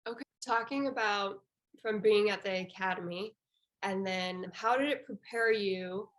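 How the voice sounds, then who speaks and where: A woman's voice: mid-range voice, a little slow, a bit bright, rough recording. A young woman working as an interviewer, asking questions in a casual, conversational style.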